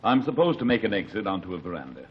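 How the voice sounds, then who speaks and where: A man's voice: low-pitched, big pitch swings, fast talker. An actor playing a man on trial who answers questions hesitantly and vaguely, in 1940s radio acting. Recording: dull old radio broadcast, faint hiss.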